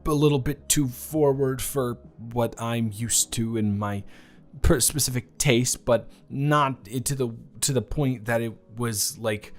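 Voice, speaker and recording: A young man playing a new classmate in a romance audio drama, speaking to the listener in a halting, flustered way, overwhelmed and stumbling over his words. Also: medium pitch, soft background music. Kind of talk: one-sided audio roleplay to the listener.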